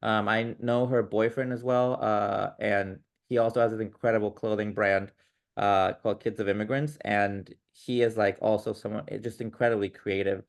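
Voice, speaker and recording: A man's voice: lowish voice, level tone, moderate pace, vocal fry. A man guest on a podcast, talking casually and enthusiastically, with many fillers. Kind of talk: casual interview chat.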